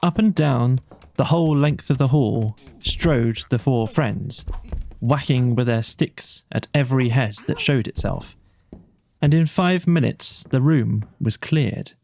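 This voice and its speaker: A man narrating a bedtime storybook in a measured, dramatic storytelling manner. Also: mid-range voice, vocal fry, darkish tone, slight background noise. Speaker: audiobook narrator.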